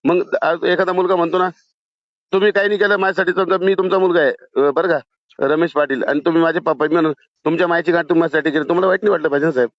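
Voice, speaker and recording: A man's voice: fairly high pitch, fairly even intonation, very fast, no echo. A man on a recorded phone call, insistent and pleading, often interjecting. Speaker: caller to a politician. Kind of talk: phone conversation.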